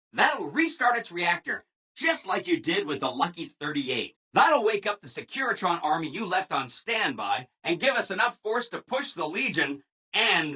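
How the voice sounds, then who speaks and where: An actor playing a relentlessly cheerful, eager-to-please robot assistant in a video game, chirpy and over-eager, with exaggerated emphasis. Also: male voice, high voice, sharp tone, husky, full character voice. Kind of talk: animated video game character dialogue.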